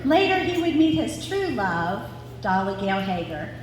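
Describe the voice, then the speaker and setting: A woman's voice: medium pitch, big pitch swings, moderate pace. A woman reading a scripted family story aloud to a live audience. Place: large reverberant room at a family gathering. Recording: very echoey hall with clear background noise.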